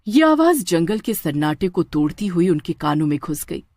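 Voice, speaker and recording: A woman narrates a village horror story in a dramatic, scripted way. Her pitch rises and falls widely, and the recording is dry and close. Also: very animated, moderate pace, darkish tone, no echo. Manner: dramatic, with stammering in character lines.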